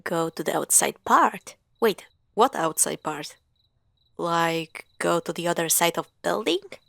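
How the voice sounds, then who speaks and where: A woman playing a playful, sarcastic young girlfriend in a spooky roleplay audio drama, teasing and expressive. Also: on the low side, a little slow, gravelly, dry recording, full character voice. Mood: casual, slightly impatient. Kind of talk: spooky roleplay audio drama, acted one-sided dialogue.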